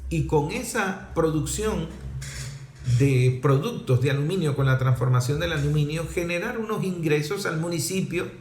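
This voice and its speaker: A man's voice: a little high, animated, normal speed, a little mellow, a little hiss or hum. Venezuelan man, emphatic commentator, roomy echo. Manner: emphatic, didactic, with rhetorical questions and repetition. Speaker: political commentator and presenter. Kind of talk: explanatory political commentary to camera. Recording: roomy, echoing sound.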